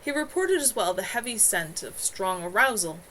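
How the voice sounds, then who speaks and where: A woman reading her own novel aloud in an expressive amateur storytelling style. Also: mid-range voice, normal speed. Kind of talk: live amateur audiobook-style narration. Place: at home. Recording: clearly noisy home livestream recording.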